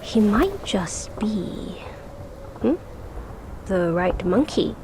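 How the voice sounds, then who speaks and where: A woman playing a sly, cryptic old immortal who speaks calmly and with amusement, in a game cutscene with background noise. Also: medium pitch, extremely slow. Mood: amused, knowing. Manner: calm, amused and knowing.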